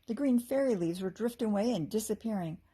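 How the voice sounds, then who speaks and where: An American woman narrates a children's storybook in a teacherly, expressive way. Her voice has a slightly breathy, husky edge at a middle pitch. She is animated and acts out the characters. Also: quick pace. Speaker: teacher reading a children's book aloud.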